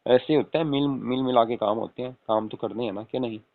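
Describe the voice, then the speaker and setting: A man's voice: medium pitch, a little fast, lightly acted. A man playing an affectionate young husband on a casual late-night call, coaxing and often asking for agreement. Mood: coaxing, persuasive. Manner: coaxing, repeatedly seeking agreement with tag questions. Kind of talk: acted casual phone conversation with spouse. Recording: tinny phone-call audio with room echo.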